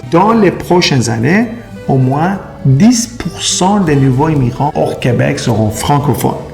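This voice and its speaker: Gravelly-voiced man. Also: mid-range voice, darkish tone. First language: Persian and Turkish. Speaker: immigration consultant. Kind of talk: explainer video to camera.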